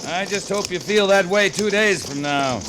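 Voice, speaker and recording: Actor as steady cavalry captain, noisy old radio. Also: male voice, sits high, normal speed, lightly acted. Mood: wry, relaxed. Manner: clipped, authoritative, dryly understated. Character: seasoned, commanding male cavalry captain, dry and steady. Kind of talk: 1950s radio western acting. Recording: noisy vintage 1950s radio recording.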